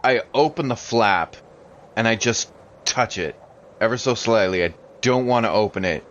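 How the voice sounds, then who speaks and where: A man playing a tabletop game, improvising his character's actions in a halting, thoughtful way. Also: lowish voice, a bit bright, muffled recording, slight background noise.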